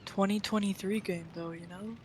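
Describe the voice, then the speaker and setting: A woman's voice: mid-range voice, steady tone, normal speed, warm tone, a little hiss or hum. A woman chatting casually during a game stream, giving brief, offhand reactions. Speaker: co-player on a livestream.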